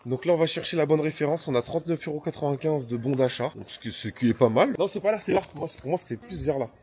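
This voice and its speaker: A man's voice: fairly high pitch, lively intonation, quick pace, darkish tone, slight background noise. A man vlogging about a home renovation, enthusiastic and slangy, repeating his points for emphasis. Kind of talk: casual home renovation vlog.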